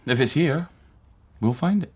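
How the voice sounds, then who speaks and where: Genial male detective, lively intonation. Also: on the high side, a little slow, breathy edge. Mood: friendly, probing. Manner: easygoing, chuckling, gently probing. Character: genial, food-loving male police detective. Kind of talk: naturalistic radio drama acting.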